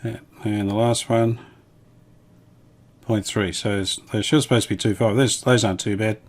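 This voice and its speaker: A man with a fairly low voice presents a hands-on workshop demonstration. He talks casually and without hurry, in a matter-of-fact way, as if thinking aloud while he works. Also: fairly even intonation, normal speed. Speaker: hobbyist radio restorer presenting his video.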